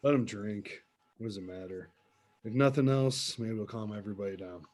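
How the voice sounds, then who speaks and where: A man's voice: lowish voice, normal speed, darkish tone, a little hiss or hum, lightly acted. A man playing a blunt, fatalistic, cynical character in a horror script reading. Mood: weary, resigned.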